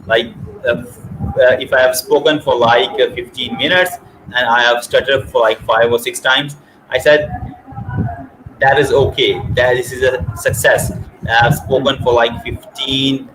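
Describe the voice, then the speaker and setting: A man's voice: medium pitch, steady tone, a little slow, a bit bright. A man in his thirties hosting over a video call with earphones, speaking hesitantly with many fillers and stutters. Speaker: host. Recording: noisy video call audio.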